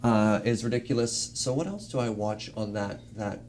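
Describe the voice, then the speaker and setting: Lowish-voiced man chatting, faint noise behind. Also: moderate pace, darkish tone. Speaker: panel guest giving recommendations. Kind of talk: casual unscripted chat.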